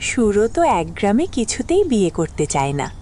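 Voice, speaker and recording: A woman's voice: medium pitch, big pitch swings, normal speed, dark tone, a little hiss or hum, natural voice. An actress playing an easygoing middle-aged mother in an audio drama, coaxing and mild.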